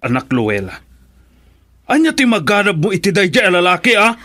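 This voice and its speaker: A man's voice: high-pitched, very animated, normal speed, pushed and bright, faint music behind, exaggerated voice. A Filipino man playing an angry, domineering father in a radio drama, scornful and threatening. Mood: stern, menacing. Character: angry, domineering father opposing his daughter's marriage.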